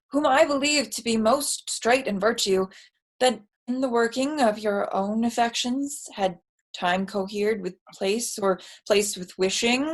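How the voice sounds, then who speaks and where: Woman playing a reasonable, merciful statesman, higher-pitched. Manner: measured, reasoning. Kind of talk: Shakespearean staged reading.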